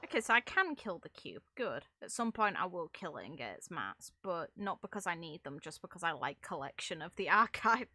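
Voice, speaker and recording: A woman's voice: lowish voice, sing-song, brisk, few pauses, sharp tone, no room sound. A woman chatting casually and bluntly on a live game stream, with some swearing. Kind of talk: casual live-stream chat while gaming. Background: video game music.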